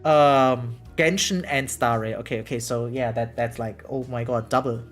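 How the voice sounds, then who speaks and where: Livestreamer, mid-pitched voice, casual reaction chat, animated and exclamatory, reading aloud, music in the background. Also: moderate pace. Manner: animated, exclamatory, checks in with "right?". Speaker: variety streamer. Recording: music behind the voice.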